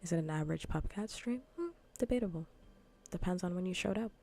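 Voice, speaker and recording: A woman livestreamer chatting softly in ASMR style, often whispering with a breathy, gravelly fry, in a casual, rambling way that repeats phrases. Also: fairly low pitch, lively intonation, fast. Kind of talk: soft ASMR gaming livestream chat. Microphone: close ASMR microphone.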